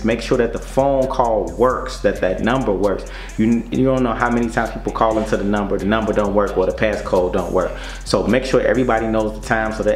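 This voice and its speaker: A man giving an informal, numbered how-to talk as a presenter, speaking directly to the listener and repeating key points for emphasis. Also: mid-range voice. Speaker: presenter giving business tips. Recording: roomy echo, faint noise and hum.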